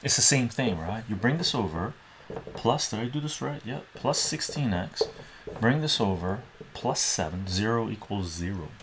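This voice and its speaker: Gravelly man teaching at a whiteboard. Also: fairly low pitch, animated, normal speed, background noise. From Iran. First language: Armenian. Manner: chatty, thinking aloud and asking "right?" often. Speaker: math tutor. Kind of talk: casual live-stream math lesson. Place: small home room with a whiteboard.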